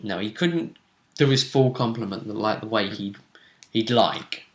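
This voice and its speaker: A man's voice: fairly low pitch, unhurried. A man speaking as a game master, casually recapping a tabletop game. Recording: echoey room sound.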